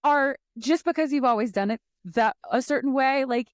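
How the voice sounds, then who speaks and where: Woman, from the Middle East, high voice, dry close sound, animated co-host. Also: moderate pace, no echo. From Egypt and the Middle East. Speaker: podcast co-host, former special ed teacher. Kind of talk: casual panel chat.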